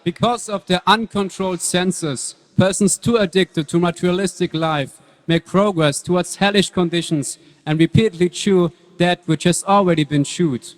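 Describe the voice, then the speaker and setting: A man playing a saintly young devotee, calm and earnest, preaching in a measured dramatic reading of scripture. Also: sits high, natural voice. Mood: earnest, serene. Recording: reverberant hall.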